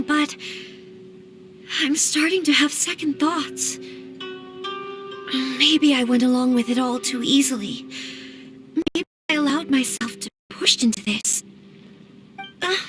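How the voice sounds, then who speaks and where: High-voiced, polite young noblewoman. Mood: doubtful, apologetic. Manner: formal, gentle, hesitant. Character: polite young noble lady, earnest, anxious bride-to-be. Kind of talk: English video game voice acting. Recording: soft music behind the voice.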